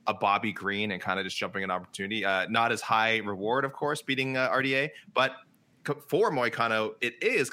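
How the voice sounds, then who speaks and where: A man's voice: medium pitch, bright, forceful tone. A man co-hosting a fight talk show, analysing at length with frequent fillers and restarts. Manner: rambling, many fillers and restarts. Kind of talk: casual analysis chat.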